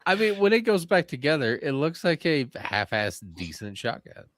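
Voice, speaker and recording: A man's voice: on the high side, big pitch swings, normal speed, a bit bright, a little hiss or hum. A man co-hosting a casual hunting podcast, showing off gear in a playful drawl. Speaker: podcast co-host. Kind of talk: casual chat.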